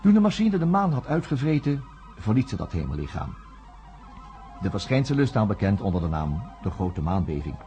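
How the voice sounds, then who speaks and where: A man's voice: fairly low pitch, sing-song, fairly quick, dark tone, muffled recording, soft background music. A man playing an earnest, self-doubting psychiatrist in a radio drama, reflective and at times hesitant. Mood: sombre narration. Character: earnest, self-doubting younger psychiatrist, former student of a professor. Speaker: narrator and lead character. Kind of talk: radio drama acting with first-person narration.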